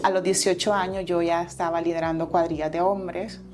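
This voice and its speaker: A woman's voice: on the low side, little pitch movement, fairly quick, darkish tone, soft background music. A Salvadoran woman in her forties, a guest entrepreneur telling her life story in an interview, earnest and reflective, with fillers and self-corrections.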